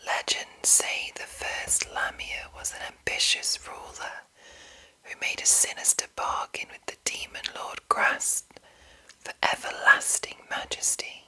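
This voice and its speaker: A woman whispering gently in an unhurried ASMR style, with a breathy, unvoiced delivery. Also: rough recording. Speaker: host of a whispered fantasy reading series. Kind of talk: whispered ASMR commentary and read-aloud.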